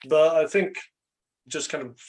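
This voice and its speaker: A middle-aged man from Sweden speaks reflectively as an interview guest, pausing often and checking in with "right?". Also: a little high, a little mellow. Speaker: podcast guest, life coach.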